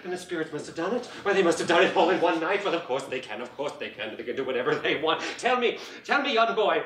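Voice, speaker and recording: A man's voice: a little high, lively intonation, fast talker, fairly bright tone, a little hiss or hum. A man playing an older male miser in a one-man storytelling performance, theatrical, pleading and exclaiming. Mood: jubilant. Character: older male miser, desperate then giddy with joy. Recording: roomy, distant mic, faint ambience.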